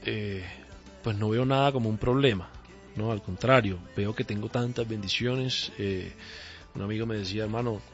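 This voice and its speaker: A man's voice: on the low side, moderate pace. A man with a Caribbean coastal Spanish accent, a guest singer in a radio interview, upbeat and reflective, laughing easily. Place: radio studio. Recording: slightly muffled radio studio with faint music.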